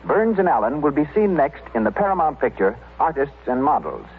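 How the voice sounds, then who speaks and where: A man's voice: on the high side, sing-song, fairly quick, slightly rough, phone-quality sound. An American man working as a 1930s radio announcer, reading a script in a formal, polished way. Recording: thin, faintly hissy 1930s radio recording.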